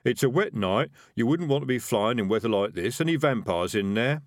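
A man playing a cautious, chatty highwayman in a storybook reading, matter-of-fact and methodical. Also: animated, brisk, dry recording, clean studio sound, natural voice. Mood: methodical, wary.

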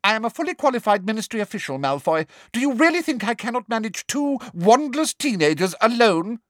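A man voicing a cruel, falsely sweet middle-aged woman official with a prim, sharp manner. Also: sits high, very animated, a little slow, no echo, clean studio sound, voice pushed up, full character voice.

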